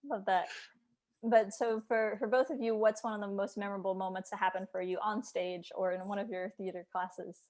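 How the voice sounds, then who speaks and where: A woman's voice: mid-range voice, level tone, a little fast, a little mellow. Woman, interview host, conversational, mid-range voice. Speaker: host, developmental editor.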